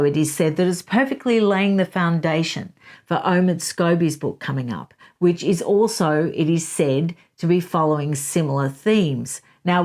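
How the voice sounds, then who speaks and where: Solo commentary host, woman, lower-pitched voice, forceful and rebutting. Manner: emphatic, rebutting, reads quotes aloud.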